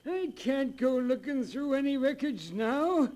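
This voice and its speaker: An actor playing an uneasy, grudging old cemetery caretaker in a 1940s radio drama, hesitant and folksy. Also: male voice, very high-pitched, lively intonation, measured pace, darkish tone. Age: older. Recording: noisy vintage 1940s radio broadcast.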